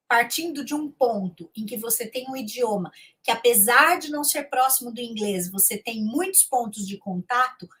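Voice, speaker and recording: A woman's voice: on the high side, a bit bright, husky. A woman who teaches English, giving an online lesson in a conversational way that mixes in English phrases and often checks in with her listeners. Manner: switches between Portuguese and English.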